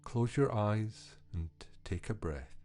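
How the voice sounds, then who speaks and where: A man with a deep, mellow voice narrates a guided meditation at a very slow pace. He is soothing and measured, leaving pauses between phrases. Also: lively intonation, soft background music. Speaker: podcast host and meditation guide.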